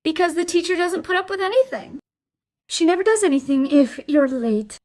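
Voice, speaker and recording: Casting: scared little schoolgirl under questioning, high-pitched, pleading and defensive, crime radio play. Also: moderate pace, darkish tone. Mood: explaining. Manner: protesting, pleading. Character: frightened, defensive young schoolgirl.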